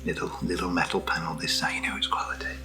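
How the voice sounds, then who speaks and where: British man, very low voice, slow pace, soft breathy ASMR murmur, near whisper, playful asides, music behind. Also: flat delivery. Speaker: ASMR product reviewer. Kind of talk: soft-spoken ASMR, unscripted unboxing chat.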